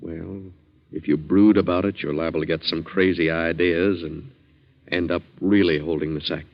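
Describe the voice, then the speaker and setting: Deep-voiced, sponging younger brother. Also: male voice, steady tone, normal speed. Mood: solicitous. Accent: American. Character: smooth-talking, sponging younger brother with gambling debts. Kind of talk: 1940s radio drama acting. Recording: muffled 1940s radio recording.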